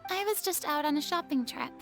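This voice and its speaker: An actress voicing a proud, theatrical former goddess in a game, with a formal, haughty way of talking. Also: female voice, sits high, fairly quick, a bit bright, soft background music. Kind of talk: game voice acting.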